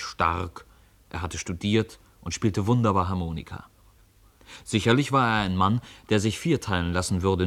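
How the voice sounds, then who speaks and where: A man narrating a radio drama as a storyteller, reading literary prose in a measured, articulate way. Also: sits low.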